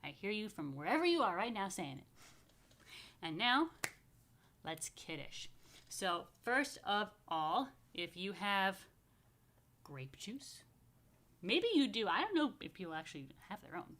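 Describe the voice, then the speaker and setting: A woman's voice: mid-range voice, very animated, a little slow, long pauses, fairly bright tone. Playful woman rabbi talking to young children. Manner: chatty, playful, speaks simply as to children. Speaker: rabbi leading a children's story time and blessings. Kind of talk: informal talk to young families, with story reading and blessings.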